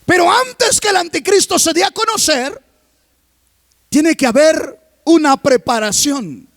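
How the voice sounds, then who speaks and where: A man preaching a sermon as pastor, reading scripture aloud and constantly asking the congregation questions, rising to emphatic shouts. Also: extremely high pitch, animated, measured pace, dry recording, studio quality. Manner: reads scripture aloud between remarks.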